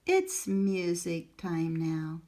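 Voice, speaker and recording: A woman's voice: fairly low pitch, very animated, extremely slow, mellow, full character voice. Kindly storybook teacher, encouraging and sing-song, played by a woman. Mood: gentle. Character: cheerful, patient female schoolteacher. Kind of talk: storybook read-aloud.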